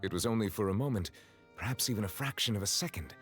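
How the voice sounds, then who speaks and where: A man voicing a reserved chief judge in a video game, speaking in a formal, measured and socially stiff way. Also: fairly low pitch, expressive, soft background music, lightly acted. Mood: calm, grave.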